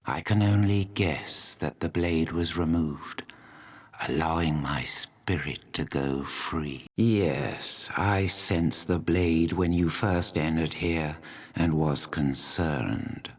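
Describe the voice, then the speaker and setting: An actor playing an ancient, proud and embittered dragon in a fantasy video game, speaking in a solemn, deliberate, coldly menacing way. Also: male voice, low voice. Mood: cold, resolute. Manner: slow, deliberate, solemn delivery.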